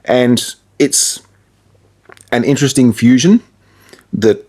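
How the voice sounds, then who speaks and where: A man's voice: medium pitch, takes it slowly, a little mellow. An Australian man, a radio presenter and musician, chatting casually as an interview guest.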